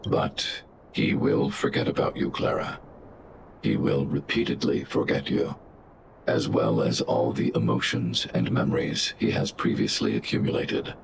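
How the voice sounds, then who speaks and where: An actor voicing a huge, protective robot guardian in a video game, speaking in a calm, formal, emotionless and logical way. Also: male voice, rumbling low, gravelly, noisy background, heavily acted. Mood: grave, clinical. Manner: measured, formal, emotionless machine-like delivery. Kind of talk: scripted video game dialogue. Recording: game music behind.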